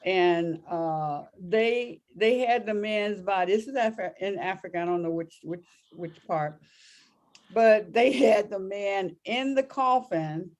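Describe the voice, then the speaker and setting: Halting, laughing woman telling a story. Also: mid-range voice, a little mellow. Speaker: co-host. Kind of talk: casual storytelling chat.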